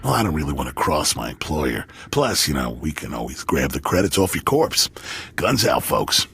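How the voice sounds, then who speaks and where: A man's voice: a bass voice, very animated, moderate pace, vocal fry, fairly bright tone, slight background noise, exaggerated voice. A man voicing a cocky hired thug in a video game, with breezy, flippant menace. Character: cocky, casual male hired thug leading a crew.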